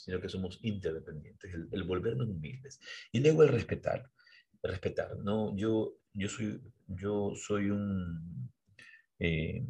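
A man's voice: low voice, slightly rough. A man co-hosting a podcast, talking earnestly and a bit preachy, often restarting words as he thinks. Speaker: podcast co-host. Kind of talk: reflective podcast conversation.

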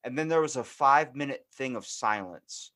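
Profane American man telling animated stories. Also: medium pitch, moderate pace. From Maryland, United States. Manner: profane, animated, acts out quoted exchanges. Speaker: podcast guest and voice actor. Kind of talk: casual comic storytelling.

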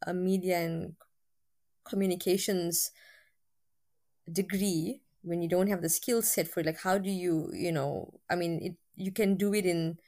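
Female lecturer guest, low voice, candid, lots of ums. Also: fairly even intonation, unhurried, a little mellow. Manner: candid, with frequent fillers. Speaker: university lecturer with a PhD. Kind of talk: interview guest.

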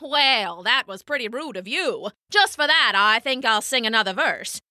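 A cocky little male grasshopper showman from a bedtime storybook, crooning bragging rhymes in a lively, singsong voice, as a woman narrator puts him on. He sounds bright and close to the mic, with a dry, unechoing sound. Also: fairly high pitch, normal speed, dry recording. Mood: smug. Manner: singsong, rhyming, taunting. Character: boastful male grasshopper singer, smug showman.

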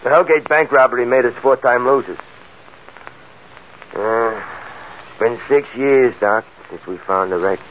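An actor playing a hysterical small-time convict and bank robber in a 1940s radio drama, talking in rough underworld slang. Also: male voice, medium pitch, measured pace, pauses often, a bit bright, a little hiss or hum, lightly acted. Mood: matter-of-fact, eager. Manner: rough slangy underworld talk, breaking into manic laughter. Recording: dull lo-fi old radio recording.

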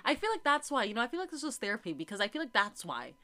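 Young woman with a dry, flat voice. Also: a little high, very fast. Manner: flat, dry delivery with lots of "like" and laughter. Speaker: podcast co-host. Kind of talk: casual chat.

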